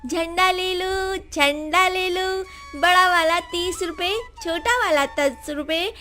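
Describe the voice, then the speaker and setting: A woman playing a brave, caring poor orphan girl in an animated moral story, earnest and hopeful. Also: very high voice, takes it slowly, pitched above the performer's own voice, exaggerated voice. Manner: earnest, reassuring. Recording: music behind the voice.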